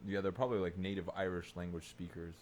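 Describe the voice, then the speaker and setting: A man with a slightly low voice talks casually as a podcast co-host. He comes across as opinionated, speaking in a questioning, matter-of-fact conversational way. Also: flat delivery, fast talker, noisy background. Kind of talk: casual chat.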